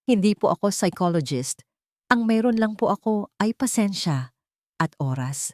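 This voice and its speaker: Audio drama nanny, played by a female narrator: calm, humble, soft-spoken, mellow tone, dry recording. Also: mid-range voice, normal speed, no room sound, natural voice. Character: gentle, patient young nanny, humble and unshaken.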